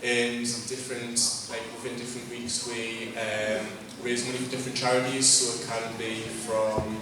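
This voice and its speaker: A man's voice: medium pitch, flat delivery, normal speed. A seventeen-year-old man giving a hesitant student presentation, full of ums. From northeast England. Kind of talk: class presentation to a group. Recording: echoey hall from far off, background noise.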